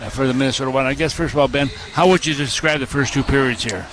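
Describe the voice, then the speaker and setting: A man's voice: mid-range voice, fast talker. A man working as a sports radio broadcaster, giving commentary and asking interview questions. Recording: noisy arena ambience behind voice.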